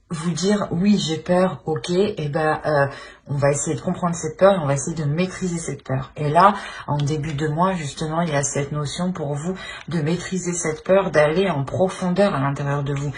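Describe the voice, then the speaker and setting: A woman giving an informal tarot reading, speaking haltingly as she searches for words and often clears her throat. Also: low voice, fairly even intonation, slight background noise. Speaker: tarot reader.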